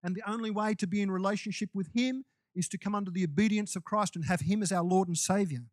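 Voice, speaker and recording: A man's voice: high voice, quick pace, dry recording, studio quality. A man preaching a sermon to his congregation in a loose, conversational way, with restarts and asides. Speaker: pastor.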